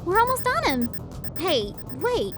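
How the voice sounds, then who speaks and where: A bubbly, naive young woman in an audio drama. Her voice is extremely high and lively, excitable and inquisitive. Music plays clearly behind it. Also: measured pace, full character voice. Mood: eager, puzzled. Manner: excitable, questioning.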